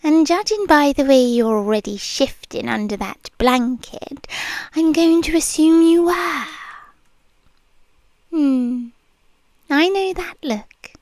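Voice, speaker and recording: A woman's voice: high-pitched, a little mellow, dry recording. A woman with a British accent voicing an obsessive, possessive young nurse in a whispered ASMR roleplay, speaking in an intimate, teasing murmur. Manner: slow, intimate, teasing purr with frequent whispers.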